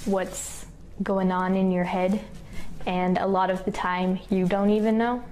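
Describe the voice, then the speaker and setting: A teenage, female-sounding interviewee speaks slowly and hesitantly. The voice is soft-edged and flat in pitch, casual in wording. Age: teens.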